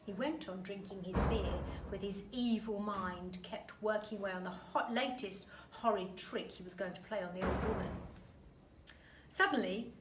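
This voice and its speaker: A woman reading a children's story aloud like a teacher, expressively and with deliberate, dramatic emphasis. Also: mid-range voice. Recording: roomy echo, distant mic, faint noise.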